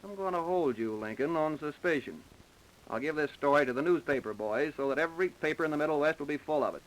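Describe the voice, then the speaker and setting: A man's voice: a little high, expressive, fast, lightly acted, poor audio. An American man acting a dogged, sardonic police captain questioning a suspect in a 1930s radio drama. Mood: hard, insistent. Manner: sardonic, pressing, plain-spoken. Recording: vintage 1930s radio recording.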